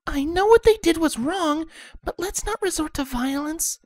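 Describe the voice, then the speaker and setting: A man puts on a shy teenage girl who speaks softly and gently. The voice sits high in falsetto, quiet and close, with a dry sound. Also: expressive, normal speed, no room sound. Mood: gentle. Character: timid, gentle teen girl.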